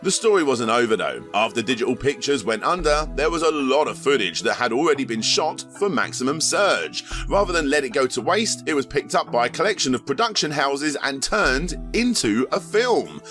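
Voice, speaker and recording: A man's voice: mid-range voice, sing-song, normal speed, few pauses, a bit bright. A man delivering scripted documentary narration for a video essay, with wry asides. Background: music bed.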